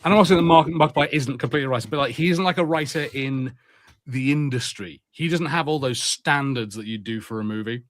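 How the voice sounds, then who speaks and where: A man co-hosting a casual podcast, talking in long animated rambles at a medium pitch. His voice is recorded dry and close, with no room sound. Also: fast talker, dry recording. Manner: rambling, animated, laughs easily. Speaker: podcast co-host.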